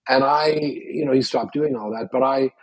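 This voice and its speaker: An older man from Canada, whose first language was Yiddish, telling stories in a casual podcast chat with lively restarts. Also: medium pitch, normal speed, slightly rough, dry recording, poor audio. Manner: animated storyteller with frequent restarts. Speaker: guest.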